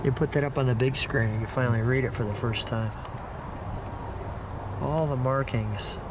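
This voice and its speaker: A man's voice: medium pitch, steady tone, normal speed. A middle-aged or older man, chatting casually and enthusiastically as he hosts a car walkaround. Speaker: host of a car show. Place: outdoors around and inside a car. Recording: loud car and outdoor noise. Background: engine, insects, vehicle noise.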